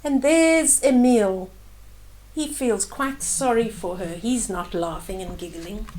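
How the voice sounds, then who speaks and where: An older woman reading a children's bedtime story aloud, adding gentle, simple asides about the pictures for young listeners. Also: mid-range voice, unhurried, low-quality recording.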